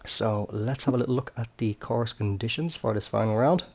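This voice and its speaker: A man's voice: medium pitch, a little fast, vocal fry, a bit bright. A man giving casual commentary on a game into a headset at home, his voice a little throaty from a cold, chatty and easygoing with lots of fillers. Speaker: gaming commentator. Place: home room with TV and console. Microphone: gaming headset.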